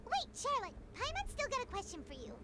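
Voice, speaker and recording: A woman's voice: extremely high pitch, huge pitch swings, a little fast, very noisy, heavily acted. A woman voicing a tiny, chirpy fairy companion in a game who talks about herself by name. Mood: curious. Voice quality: bubbly, speaks of herself by name. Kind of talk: game voice acting.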